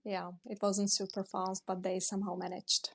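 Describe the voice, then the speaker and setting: Flat-voiced hesitant young woman. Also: medium pitch, moderate pace. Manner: hesitant, searching for words. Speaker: documentary director. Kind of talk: interview answers.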